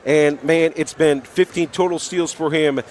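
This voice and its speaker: A man's voice: a little high, steady tone, a little slow, a little mellow. An American man hosting pregame basketball commentary on radio, emphatically rattling off statistics. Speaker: sports radio play-by-play host.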